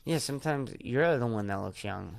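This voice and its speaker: A young man talking loosely on a podcast, swearing and circling back through his points with plenty of fillers. His voice sits at a middling pitch. The sound is dry and close to the mic. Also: animated, normal speed, vocal fry, dry recording. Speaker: podcast co-host. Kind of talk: casual chat.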